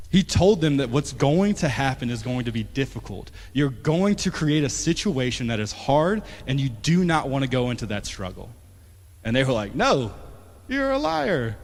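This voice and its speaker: A man in his late twenties preaching a sermon as pastor, talking conversationally and swinging from emphatic to quiet. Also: on the high side. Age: 20s. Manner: conversational, rising to emphatic then dropping soft. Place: church sanctuary. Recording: long church hall reverb.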